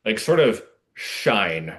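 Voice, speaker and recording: A man with a fairly low voice talks casually as an interview guest. He is reflective and somewhat halting, working out his thoughts aloud as he goes. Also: very animated, fairly bright tone.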